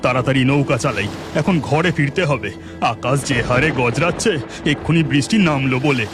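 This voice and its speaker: A man's voice: medium pitch, a little fast, over music, lightly acted. A man voicing a poor, devout village boatman in a cartoon story, earnest and exclamatory, with a Bengali accent. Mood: wondering, uneasy. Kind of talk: melodramatic cartoon story acting.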